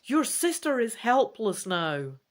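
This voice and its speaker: A woman's voice: a little high, big pitch swings, slow, voice pushed up. A woman voicing a brave, wry female elf sword fighter in a dramatic gamebook reading. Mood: urgent.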